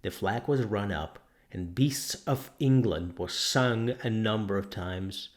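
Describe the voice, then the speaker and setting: A man's voice: fairly low pitch, slow pace. A man reading a novel aloud as scripted dramatic narration. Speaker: audiobook narrator.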